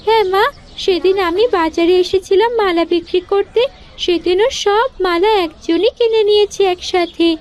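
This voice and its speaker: A woman plays an eager young village daughter in a cartoon fairy tale. The voice is very high and girlish. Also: normal speed, a little mellow.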